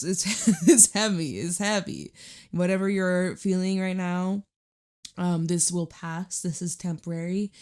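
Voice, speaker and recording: Hoarse woman, raspy, lowish voice, casual tarot reading, chatty and reassuring. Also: mellow. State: hoarse from screaming. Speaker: tarot reader.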